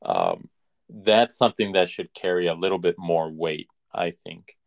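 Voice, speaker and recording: A man co-hosting a podcast, giving firm opinions in casual, halting talk as he thinks aloud. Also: sits low, big pitch swings, gravelly. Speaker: podcast co-host.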